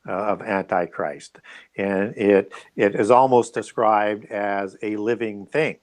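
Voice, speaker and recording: A man speaking as an interview guest, explaining his views in a conversational, musing way. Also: on the low side.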